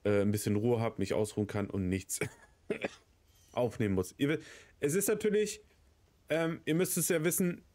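Casting: male let's play host, thirties, mid-pitched, audibly under the weather, casual and sweary, muttering reactions as he plays. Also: moderate pace, long pauses, darkish tone. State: has a cold, coughing. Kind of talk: casual gameplay commentary.

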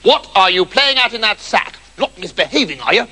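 A man's voice: sits high, big pitch swings, brisk, bright, forceful tone, exaggerated voice. A man voicing a pompous, cane-happy male schoolteacher in a children's story, exclaiming with sing-song relish. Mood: indignant.

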